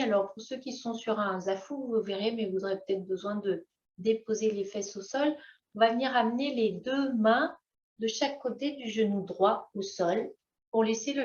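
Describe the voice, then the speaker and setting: A woman yoga teacher guiding breathing exercises in a gentle manner, with long pauses and drawn-out phrases. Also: mid-range voice. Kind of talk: guided yoga breathing instruction. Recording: echoey room, slightly dull sound.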